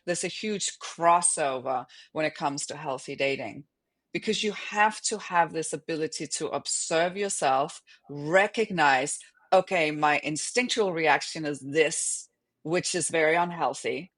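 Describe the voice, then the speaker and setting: A woman's voice: low-pitched, a little slow, fairly bright tone. Middle-aged woman from Denmark, conversational. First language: Danish. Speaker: podcast guest, author and yoga teacher. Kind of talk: conversational interview talk.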